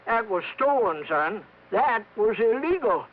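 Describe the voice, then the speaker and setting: High voiced american oil millionaire, folksy blowhard, old muffled radio show. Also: male voice, sing-song, measured pace. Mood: folksy, coaxing. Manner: folksy, ungrammatical, blustering. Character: folksy, brash rich oilman, gleefully shady business owner. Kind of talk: 1950s radio drama acting. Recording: dull vintage radio recording with music.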